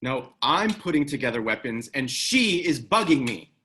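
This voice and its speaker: Man as a guarded, gruff military operative. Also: mid-range voice, big pitch swings, normal speed, bright, forceful tone, heavily acted. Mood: gruff, impatient. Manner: terse, guarded. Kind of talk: screenplay table read.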